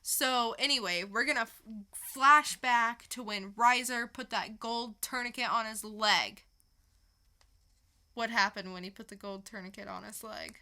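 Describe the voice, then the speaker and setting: A woman's voice: on the high side, measured pace, pushed and bright. A woman co-hosting a podcast, chatting casually through a comic book review and retelling it with casual swearing. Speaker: podcast co-host.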